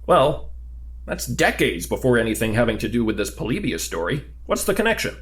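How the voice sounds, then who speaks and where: A man's voice: mid-range voice, big pitch swings, fairly quick, natural voice. A man playing a curious, talkative new government agent in an audio drama, conversational, questioning and easily flustered. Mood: earnest, questioning.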